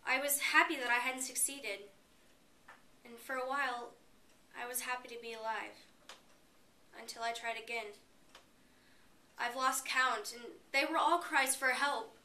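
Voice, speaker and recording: A woman's voice: sits high, steady tone, measured pace, long pauses, pushed and bright. A woman performing a dramatic stage monologue as a despairing, bitter young college student, emotive and confessional. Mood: anguished, intense. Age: young adult. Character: despairing suicidal college girl, bitter and pleading. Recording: roomy, distant mic, faint noise.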